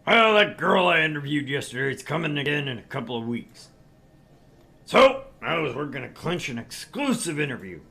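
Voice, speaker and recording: A man's voice: a little high, very animated, moderate pace, gravelly, pushed and bright, heavily acted. A man voicing a brash, crude, sleazy middle-aged tabloid editor in a visual novel read-through, with a pompous, pushy manner. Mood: boastful.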